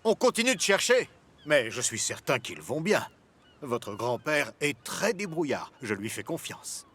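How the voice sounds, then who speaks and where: A kindly cartoon sea captain, hearty and expressive. His bright voice rises and falls a lot, over faint background noise. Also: on the high side, unhurried, full character voice. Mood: worried, reassuring. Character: jolly, kindly male harbour boat captain. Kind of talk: French cartoon dub acting.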